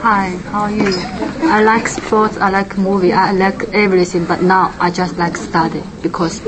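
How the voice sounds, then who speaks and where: A woman's voice: mid-range voice, level tone, normal speed, noisy background. Hesitant woman speaking learner's English. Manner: hesitant, short learner's answers.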